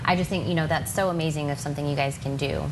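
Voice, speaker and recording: A woman hosts a live TV chat, rattling along fast with effusive praise. Her voice sits a little low, and the broadcast audio carries steady noise. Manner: gushing, effusive praise with many fillers. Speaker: TV show host. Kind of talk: casual live TV interview. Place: TV studio.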